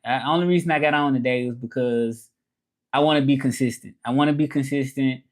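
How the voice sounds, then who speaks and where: A man's voice: medium pitch, a little fast. A man from Memphis with a Southern American accent, chatting casually on a live stream, repeating himself and switching between English and French as he talks to viewers. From Memphis, Tennessee, USA. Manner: repeats phrases, talks straight to viewers, switches languages mid-thought. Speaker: live streamer and language teacher.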